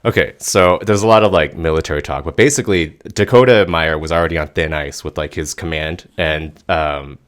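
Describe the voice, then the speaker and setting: A man's voice: rumbling low, fairly quick, few pauses, smooth. A man hosting a casual talk, explaining things in an informal, hesitant way. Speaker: host introducing and explaining clips.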